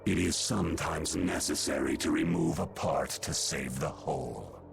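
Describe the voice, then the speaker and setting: A man voicing an ancient, stern, imperious creature in a video game, with gravelly, formal, solemn speech. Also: extremely low pitch, moderate pace, exaggerated voice. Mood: cold resolve. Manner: formal, solemn, archaic phrasing. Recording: game music behind the voice.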